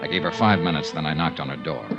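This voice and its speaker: An actor playing a cool, tough, persistent insurance investigator, dryly delivered in 1950s radio detective style. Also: male voice, extremely low pitch, fast talker, loud music behind the voice. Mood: dry narration. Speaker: lead character and narrator. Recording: muffled 1950s radio recording.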